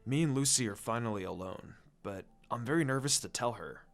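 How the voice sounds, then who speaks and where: Man voicing a quiet, low, brooding ninja boy. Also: expressive, a little fast. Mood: nervous. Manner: quiet, grave, controlled. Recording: music under the voice.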